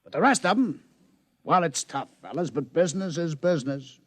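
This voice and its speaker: Brash casino boss, slick gambler, lively swinging voice, dry close sound. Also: male voice, a little high, normal speed, no room sound. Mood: blunt. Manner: brash, wisecracking, laughs a lot. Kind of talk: 1950s radio drama acting. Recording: dull old radio broadcast.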